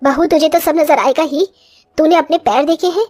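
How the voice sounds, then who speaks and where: A woman as a fretful high-pitched cartoon mother-in-law. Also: normal speed, a little mellow, faint music behind, lightly acted. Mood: scolding. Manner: complaining, exclamatory village elder. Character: short older mother-in-law, fretful, grudging but softening. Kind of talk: Hindi cartoon story voice acting.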